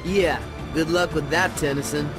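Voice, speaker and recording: Guy playing snarky tough teen dude in a video game, bit high pitched, blunt, music under it. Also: fairly even intonation, moderate pace, natural voice. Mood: sarcastic. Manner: sarcastic, blunt. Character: gruff sarcastic protective teenage tough guy. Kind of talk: animated game cutscene acting.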